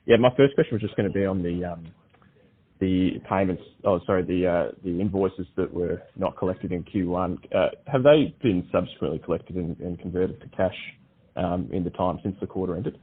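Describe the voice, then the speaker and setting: A man's voice: deep voice, normal speed, slightly rough. A man, a financial analyst, asking casual, rambling questions on a conference call. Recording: phone line.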